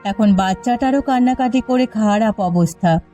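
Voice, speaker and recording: A sensible village wife played by a woman in a cartoon moral story, reproachful and pleading. Her voice is mid-pitched and mellow, over faint music. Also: moderate pace, natural voice. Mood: scolding. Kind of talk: Bengali cartoon story voice acting.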